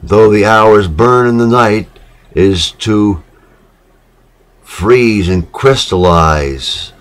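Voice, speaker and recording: A man giving a solemn literary reading, measured and dramatic, with pauses between short phrases. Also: fairly low pitch. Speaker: reader of poetic literature.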